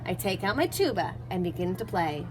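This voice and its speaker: A woman narrating a children's picture book in an expressive, animated read-aloud manner, acting out dialogue and sounds. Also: fairly low pitch, brisk, noisy background.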